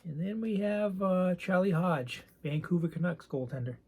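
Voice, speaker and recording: A soft-spoken man with a raspy voice, chatting casually and rambling a bit while showing his collection. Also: high-pitched, animated, normal speed. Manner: soft-spoken, not loud; rambling, hesitant with many ums. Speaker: card collecting channel host. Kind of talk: casual show-and-tell chat.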